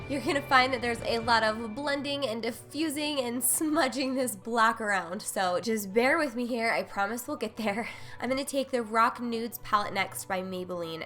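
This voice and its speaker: Bright, congested young woman vlogger. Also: on the high side. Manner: chatty, upbeat product walkthrough. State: congested from a cold. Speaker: beauty vlogger. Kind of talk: makeup tutorial voice-over. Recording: faint music underneath.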